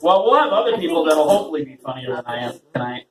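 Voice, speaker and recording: A man rambles through a stand-up set at an open mic, laughing at his own jokes and poking fun at himself. His voice sits high and swings widely in pitch. It is captured from far off in a roomy space, with chatter from the crowd behind him. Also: very animated, very fast. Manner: rambling, self-deprecating, laughs often. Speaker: open mic host and comedian. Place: small open mic venue with a talking audience. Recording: roomy audience recording from far off.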